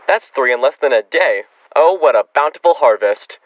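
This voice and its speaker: A man's voice: mid-range voice, lively intonation, normal speed, lo-fi sound, background noise, natural voice. A man acting a wry, ghoulishly cheerful, money-minded frontier doctor in a radio western, chatty and drily gleeful. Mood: wryly gleeful. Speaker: actor in a student radio drama.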